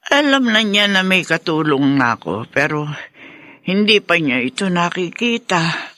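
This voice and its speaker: Composed woman, radio drama: bright, forward tone, unhurried, dry sound. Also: fairly low pitch, sing-song, no room sound, exaggerated voice. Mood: matter-of-fact. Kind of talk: Filipino radio drama acting.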